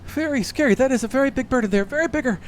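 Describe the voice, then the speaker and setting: A man voicing a timid, clawed winged creature in a tabletop game, nervous and shaken, halting and repeating words. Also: very high voice, slight background noise, pitched above the performer's own voice. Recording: music under the voice.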